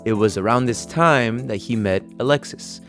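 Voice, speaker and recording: A man's voice: mid-range voice, moderate pace, a bit bright, faint music behind. A man narrating a podcast story in a wry, upbeat way.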